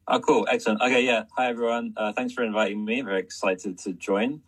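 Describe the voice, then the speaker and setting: A man's voice: fairly low pitch, fairly even intonation, quick pace, husky. A man casually introducing himself as a speaker on a panel. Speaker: panel speaker, influencer and agency founder.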